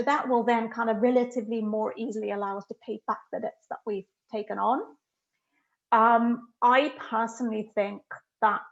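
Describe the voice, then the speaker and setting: A woman's voice: on the high side, lo-fi sound. Emphatic middle-aged woman from Finland. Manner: emphatic and thoughtful, many "you know" fillers. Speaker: guest economist. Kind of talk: answering questions in an online talk.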